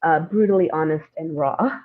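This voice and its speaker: Woman being interviewed, pretty deep voice, reflective, pauses to think, pokes fun at herself, phone-like muffled sound. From India. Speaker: guest, playwright and screenwriter.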